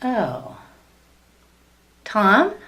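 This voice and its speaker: An actress playing a sweet, naive, adoring wife who asks guileless questions in a sitcom-style radio play. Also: female voice, medium pitch, wildly swooping pitch, drawn-out words, pushed and bright, soft background music, full character voice. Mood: puzzled, naive. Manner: innocent, earnest, guileless questioning. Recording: noisy track with clicks.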